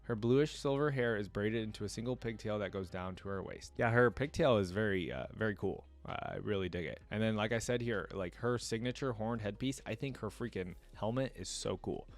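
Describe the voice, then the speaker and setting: A man with a fairly low voice hosts a casual reaction video. He moves between reading passages aloud and informal commentary, thinking out loud as he goes. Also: a little fast, few pauses.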